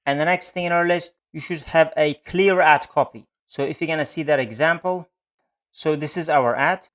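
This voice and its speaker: A man's voice: a little high, moderate pace, fairly bright tone. A man narrating an instructional screen-share tutorial, explaining step by step with non-native phrasing. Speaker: Google Ads instructor.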